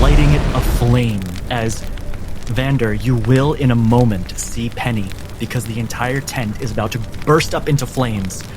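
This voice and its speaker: A man running a tabletop game as game master, narrating dramatically in deliberate, theatrical phrases over background music. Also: mid-range voice. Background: cinematic background music.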